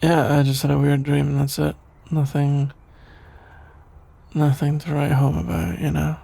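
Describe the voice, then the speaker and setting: A man playing a shy, sleepy young best friend in an ASMR roleplay, stammering, hesitant and evasive in a creaky, drowsy voice. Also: on the high side, flat delivery, a little slow, dark tone, heavily acted. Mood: embarrassed, evasive.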